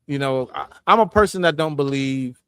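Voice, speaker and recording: A man's voice: mid-range voice, lively intonation, normal speed, a bit bright, smooth, no echo, clean studio sound. A man hosting a casual panel talk, reasoning aloud and checking that others follow him. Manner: conversational, reasoning aloud, seeks agreement. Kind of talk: casual debate.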